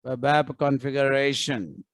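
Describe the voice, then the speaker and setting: A man leads an online programming class, talking students through each step and urging them to join in. He speaks slowly at a middle pitch, and the sound is close and dry, with no room echo. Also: steady tone, creaky. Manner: coaxing, repeats prompts to students. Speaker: programming instructor. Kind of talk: live online coding class.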